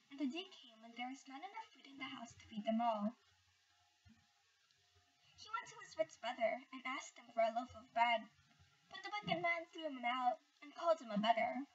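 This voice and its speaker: A woman reading a bedtime story aloud with expressive, deliberate storybook phrasing, recorded indoors at home. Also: sits high. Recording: distant, muffled home recording with faint noise.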